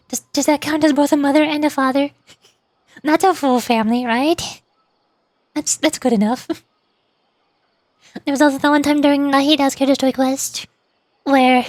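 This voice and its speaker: A woman's voice: sits high, dry recording. A woman streaming a game, joking and stammering playfully as she riffs in commentary. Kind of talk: livestream game commentary with read-aloud dialogue. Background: music.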